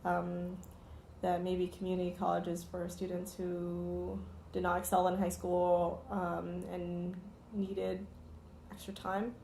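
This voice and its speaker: Flat, gravelly-voiced young woman. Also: on the low side, takes it slowly, reverberant, a little hiss or hum, low-quality recording. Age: 20s. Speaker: student interviewee. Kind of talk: casual reflective talk.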